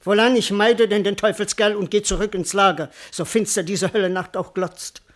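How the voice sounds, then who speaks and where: An actor playing a cowardly, bewildered servant in a classical verse radio comedy, wordy, plaintive and comically indignant. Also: male voice, high-pitched, steady tone, moderate pace, a bit bright. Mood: plaintive, weary. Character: bewildered, cowardly male servant doubting his own identity.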